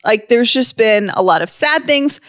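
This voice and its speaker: A woman's voice: on the high side, lively intonation, normal speed, fairly bright tone, no echo, clean studio sound. A woman co-hosting a podcast in a casual, chatty style. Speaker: podcast co-host.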